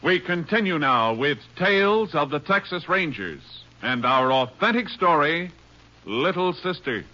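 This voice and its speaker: A very noisy, muffled vintage broadcast of a male show announcer. His voice is fairly high, in scripted 1950s radio style. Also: animated, a little slow.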